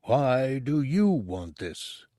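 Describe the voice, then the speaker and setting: A man voicing an ancient disembodied monk's brain, a deep, formal, serene teacher with a bemused manner. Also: very animated, very slow, dark tone, dry recording, clean studio sound. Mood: measured, scholarly.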